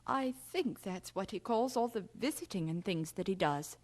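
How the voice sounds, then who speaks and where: A woman playing a concerned, mildly bewildered mother of a grown son in an audio drama, speaking in a measured, resigned way. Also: mid-range voice, lively intonation, lightly acted. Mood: resigned puzzlement.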